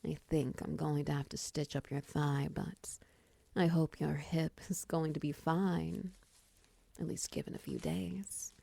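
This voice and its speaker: A woman plays a nurturing, playful half-spider woman in an intimate roleplay. Her voice is lowish and gravelly with fry, often sinking into a breathy near-whisper. She murmurs slowly and gently to the listener, with a teasing edge. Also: flat delivery, no echo, exaggerated voice. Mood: caring, reassuring. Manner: gentle, teasing, slow murmurs with soft chuckles. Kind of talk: intimate audio roleplay, soft-spoken to the listener.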